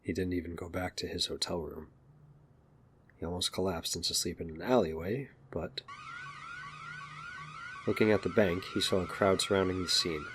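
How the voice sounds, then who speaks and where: A man's voice: deep voice, steady tone, moderate pace, long pauses. A man narrating a scripted audio drama, with ambient noise behind him.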